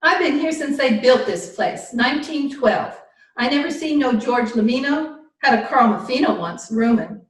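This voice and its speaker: A woman's voice: sits high, lively intonation, normal speed. A woman playing a suspicious, indignant old spinster landlady in an old-time radio detective drama. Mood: curt. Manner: nosy, curt, indignant, folksy grammar. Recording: roomy remote call, distant from mic.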